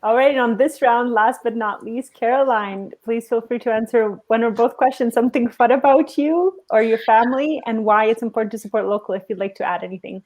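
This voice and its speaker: A woman's voice: a little high, brisk, hardly any pauses, darkish tone. A woman moderating an online panel, affirming and encouraging the speakers, on a video call.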